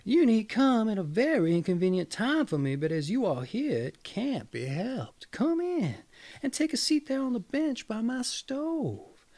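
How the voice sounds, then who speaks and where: A man's voice: high voice, very animated, measured pace, a little mellow, pitched above the performer's own voice, full character voice. A man voicing a scheming farmer's wife in a storybook, dramatic, plaintive and fussy. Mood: put out.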